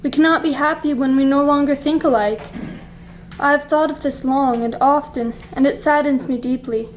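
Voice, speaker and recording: Woman as high-voiced, sorrowful young fiancée. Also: fairly even intonation, a little hiss or hum, lightly acted, low-quality recording. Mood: sad resolve. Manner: earnest, formal, deliberate. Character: sorrowful, principled young fiancée releasing her betrothed. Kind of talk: radio play acting.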